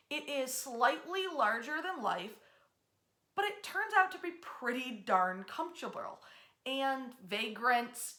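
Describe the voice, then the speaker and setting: A woman hosting a history storytelling series, talking in a chatty, emphatic way with dramatic pauses and drawn-out words. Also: fairly high pitch, bright, forceful tone. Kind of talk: animated storytelling to an audience online.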